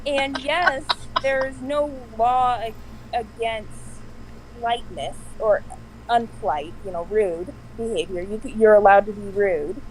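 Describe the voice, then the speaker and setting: A woman with a soft voice chatting casually on a video call, laughing often as she tells stories. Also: a little high, takes it slowly, a little hiss or hum, poor audio. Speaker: stream host. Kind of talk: casual group chat.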